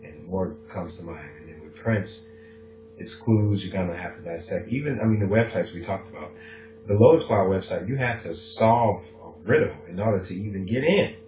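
Guy cohosting a podcast, lowish voice, lively, laughs a lot, kinda muffled lo-fi audio. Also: fairly quick, music in the background. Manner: animated, acts out quotes, laughs often. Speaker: podcast co-host. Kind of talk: casual conversation. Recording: dull lo-fi recording.

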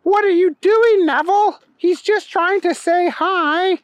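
A man's voice: extremely high pitch, unhurried, no room sound, highs cut off, pitched above the performer's own voice. A man voicing an earnest, friendly little girl in a children's story, explaining things in a childlike, matter-of-fact way. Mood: protesting.

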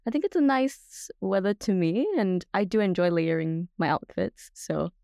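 A woman's voice: on the low side, expressive, normal speed, dark tone, no echo, studio quality. A woman in her twenties from Singapore, chatting casually as a guest on a podcast. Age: 20s. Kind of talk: casual interview chat.

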